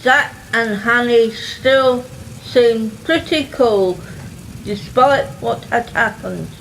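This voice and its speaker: A woman's voice: a little high, slow pace, vocal fry, fairly bright tone, a little hiss or hum, poor audio. A woman reading scripted narration and announcements for an amateur radio play.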